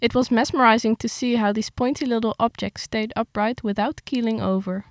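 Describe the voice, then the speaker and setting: A young woman with a gravelly, slightly creaky voice, recorded dry and close with no room sound. She chats with some hesitation, then reads her article aloud steadily. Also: on the high side, fairly quick, dry recording. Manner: hesitant with fillers when chatting, steady when reading. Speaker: writer reading her article. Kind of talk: casual chat, then reading an article aloud.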